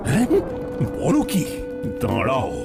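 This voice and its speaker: A man's voice: medium pitch, wildly swooping pitch, measured pace, gravelly, over loud music, full character voice. A man voicing a gullible, easily alarmed king in a cartoon, exclaiming and repeating his words. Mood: worried.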